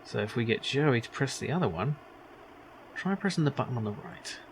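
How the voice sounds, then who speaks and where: A man's voice: medium pitch, animated, fairly quick. Wry man musing over a game, noisy background. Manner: musing, wry, thinking aloud. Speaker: video game streamer. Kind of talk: casual let's play commentary.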